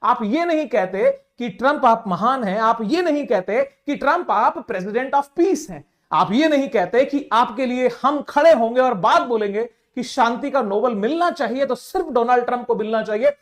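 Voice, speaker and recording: A woman's voice: on the high side, lively intonation, normal speed, hardly any pauses. A woman delivers political commentary. She explains step by step, posing rhetorical questions and stressing her points to the listener. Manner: emphatic, rhetorical questions, addresses listener as sir. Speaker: current affairs commentator.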